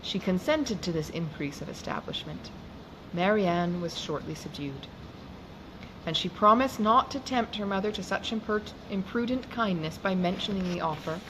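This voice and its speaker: A woman narrating a classic novel in a measured, expressive reading. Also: medium pitch. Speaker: audiobook reader. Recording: noisy background hiss.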